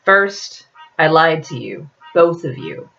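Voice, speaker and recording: A woman's voice: deep voice, big pitch swings, slow, creaky, a bit bright, dull audio, heavily acted. A woman voicing a prim, secretive female ally in a novel reading, with a firm, formal manner.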